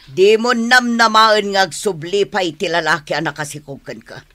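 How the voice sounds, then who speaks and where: A woman's voice: medium pitch, normal speed, bright, forceful tone, close to an everyday voice. A woman acting a stern, wounded middle-aged mother in a radio drama, scolding in exasperation. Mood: stern, exasperated.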